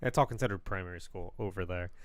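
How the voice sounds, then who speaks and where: A man's voice: sits low, fast, dry recording. A young man from Florida with an American accent, co-hosting a casual live podcast, rambling with lots of fillers and laughs. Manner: rambling, laughs often, many fillers and restarts. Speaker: podcast co-host.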